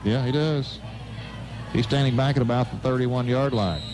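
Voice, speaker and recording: A man's voice: mid-range voice, normal speed, music in the background, poor audio. An American man doing live radio play-by-play commentary of a football game.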